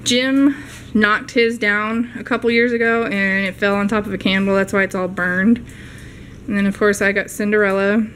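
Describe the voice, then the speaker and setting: Young woman vlogger, casual rambling show-and-tell, mid pitch, faint room hum. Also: steady tone, moderate pace, a bit bright. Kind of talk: casual haul video narration. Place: home living room.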